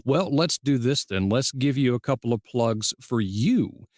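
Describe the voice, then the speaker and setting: A man's voice: mid-range voice, expressive, measured pace, darkish tone, no echo. Casual male podcast host, dry sound. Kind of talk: casual interview chat.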